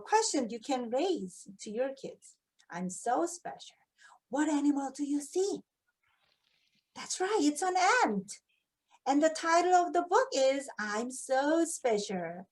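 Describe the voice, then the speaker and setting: A woman with a very high voice speaks English with a Korean accent, as a native Korean speaker. She demonstrates reading picture books aloud like a teacher. Her delivery is expressive, full of prompting questions pitched at children. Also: rough recording. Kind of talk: picture book read-aloud demonstration with tips.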